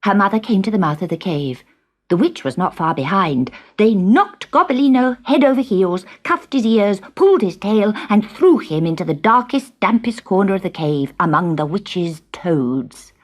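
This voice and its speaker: A woman reading a scripted children's story in an expressive, dramatic way with measured phrasing. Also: medium pitch.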